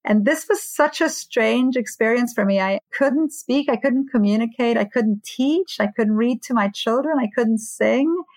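A woman's voice: on the high side, a little slow, a little mellow, dry recording, rough recording. A woman from Romania, an author and academic, telling her life story as a podcast guest. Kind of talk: personal storytelling in interview.

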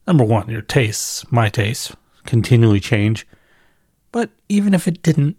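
A man's voice: a little high, mellow, no echo. Man talking casually in a dry, close recording. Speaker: podcast host and newsletter writer. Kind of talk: casual reflective solo monologue, off the cuff.

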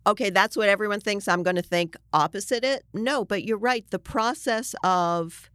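An earnest woman talks as an interview guest, with a medium-pitched voice. She makes her points emphatically in a conversational way. The sound is dry, with very little room to it. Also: moderate pace, fairly bright tone, clean tone, no room sound. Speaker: journalist guest.

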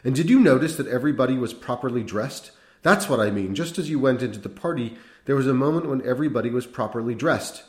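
A man's voice: medium pitch, expressive, fast, hardly any pauses, darkish tone, pitched above the performer's own voice, natural voice. A man voicing an agitated, unhinged middle-aged priest who rambles feverishly and insistently in an audiobook. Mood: rambling. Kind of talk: audiobook character voice. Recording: roomy echo.

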